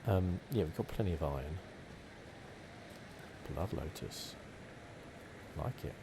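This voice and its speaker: A man giving relaxed, rambling ASMR commentary on a video game playthrough. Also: low voice, takes it slowly, heavy background noise. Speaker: gaming channel host. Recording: clear game ambience behind close commentary. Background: video game sounds.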